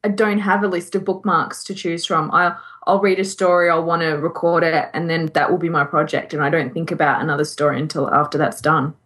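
A woman with a somewhat low voice co-hosts a podcast. She talks informally and loosely, often doubling back to repeat or reword what she started. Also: steady tone, brisk, few pauses, a bit bright. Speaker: podcast co-host. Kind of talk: casual group chat.

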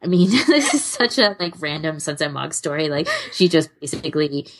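Lower-pitched woman, casual podcast chat. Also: big pitch swings, moderate pace, slight background noise. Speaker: podcast co-host. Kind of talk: casual chat, giving recommendations.

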